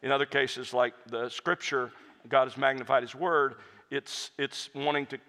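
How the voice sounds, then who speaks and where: Pastor fielding questions: man, earnest and reflective, bright voice, long hall reverb. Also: mid-range voice, steady tone, moderate pace. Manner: earnest, reflective, with frequent restarts. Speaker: pastor and hymn writer answering audience questions. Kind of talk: conversational Q&A talk.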